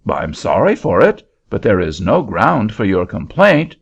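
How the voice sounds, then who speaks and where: A man plays a blunt, dismissive auctioneer with a gravelly, raspy voice that swings up and down. The sound is dull and lo-fi. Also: on the low side, wildly swooping pitch, measured pace, exaggerated voice. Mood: curt. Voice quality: blunt, curt. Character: gruff, dismissive male auctioneer. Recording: dull lo-fi recording.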